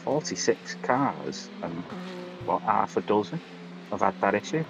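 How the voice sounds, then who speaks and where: A man's voice: fairly low pitch, big pitch swings, unhurried, creaky, noisy background. A man chatting casually as a guest commentator, musing in an easygoing, puzzled way. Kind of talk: casual race chat. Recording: slightly dull lo-fi call audio.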